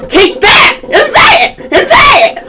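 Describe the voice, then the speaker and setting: A woman's voice: extremely high pitch, wildly swooping pitch, slow pace, over loud music, full character voice. A female amateur performer playing a furious, violent cook in a radio play. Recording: distant mic, loud background noise.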